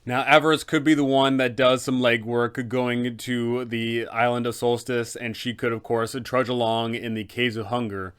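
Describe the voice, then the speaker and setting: A man's voice: medium pitch, level tone, normal speed, hardly any pauses, fairly bright tone, smooth. A man talking as an informal game master host, explaining things in a conversational, instructional way.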